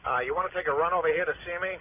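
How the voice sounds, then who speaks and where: A man playing a brisk, joking insurance man on the telephone in a 1960s radio drama. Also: on the high side, fairly even intonation. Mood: businesslike. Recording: tinny, faintly noisy vintage radio phone voice.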